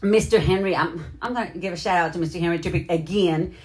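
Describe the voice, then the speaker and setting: A woman's voice: lowish voice, quick pace, a little mellow. A middle-aged woman hosting a casual livestream, chatting informally and slangily as she addresses her viewers directly. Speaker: livestream host. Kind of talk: casual livestream chat with shout-outs to viewers.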